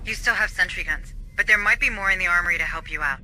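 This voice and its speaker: An actor playing a matter-of-fact technical guide who advises a squad in a scripted sci-fi game. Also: male voice, sits high, quick pace, pushed and bright, slight background noise, rough recording. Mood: cautioning.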